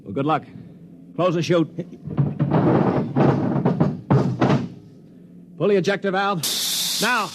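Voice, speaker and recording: A man's voice: mid-range voice, lively intonation, fairly quick, lightly acted. Man as a tense leader, noisy old radio. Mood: urgent, commanding. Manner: urgent, commanding, clipped. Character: decisive, tense male leader of captive Earthmen. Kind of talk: 1950s radio drama acting.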